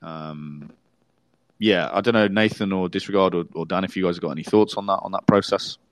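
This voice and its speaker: A man's voice: sits low, fairly even intonation, brisk, no echo. A man co-hosting a casual podcast, laying out plans at length, with fillers and restarts. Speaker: podcast co-host.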